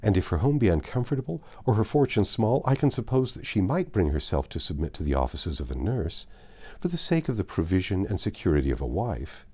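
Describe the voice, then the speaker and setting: Passionate seventeen-year-old period heroine , played by a male narrator, emphatic, highly animated, soft-edged tone. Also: fairly quick, slightly rough, exaggerated voice. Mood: indignant, emphatic. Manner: earnest, emphatic, formal period speech.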